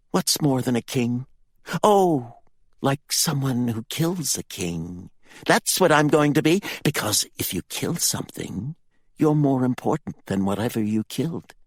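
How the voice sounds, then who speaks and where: Wicked, self-obsessed boy, high and chattering, boastful, as a male narrator puts him on. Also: no room sound, clean studio sound.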